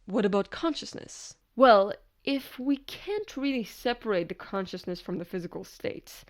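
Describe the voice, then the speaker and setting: A woman giving scripted, analytical narration as a video essayist, explaining step by step with rhetorical questions and deliberate pauses. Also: medium pitch, a little mellow.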